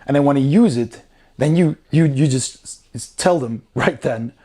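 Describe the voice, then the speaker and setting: A man's voice: medium pitch, normal speed, dark tone. A man reviewing a game rulebook in a casual, explanatory way, talking informally as he goes through it.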